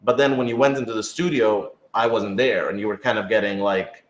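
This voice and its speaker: Guy w/ lowish voice answering questions off the cuff, self-deprecating, echoey room. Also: steady tone, a little fast. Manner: informal, hedging, self-deprecating. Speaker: radio drama writer and director answering questions. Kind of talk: casual unscripted Q&A chat. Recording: roomy, echoing room sound.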